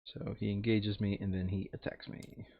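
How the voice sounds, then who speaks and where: Low-voiced man thinking aloud, muffled sound. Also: flat delivery, normal speed, gravelly. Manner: thinking aloud, muttering counts. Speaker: tabletop board game player. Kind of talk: casual chat during board game play.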